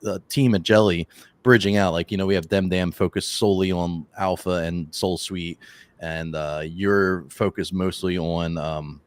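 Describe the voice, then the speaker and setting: A hoarse man hosting a casual interview, talkative and enthusiastic. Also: low-pitched, fairly even intonation, normal speed, no room sound. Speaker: podcast host.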